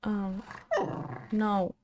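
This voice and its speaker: A young woman streaming a game from home, thinking aloud in a soft, rambling way and gently coaxing her pet between remarks. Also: mid-range voice, completely flat delivery, very slow, lo-fi sound, background noise. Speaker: streamer and channel host. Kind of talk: casual game-stream chatter.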